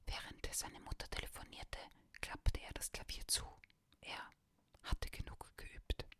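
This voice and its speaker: A woman reading a children's story aloud in a soft, steady whisper, ASMR style. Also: measured pace. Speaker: reader.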